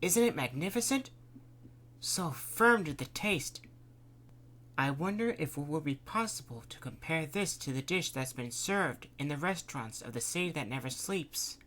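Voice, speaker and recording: A man's voice: lively intonation, moderate pace, pushed and bright. A man voicing a cheerful woman who captains a dirigible, in a raised, lilting voice. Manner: chatty, lilting, higher-pitched.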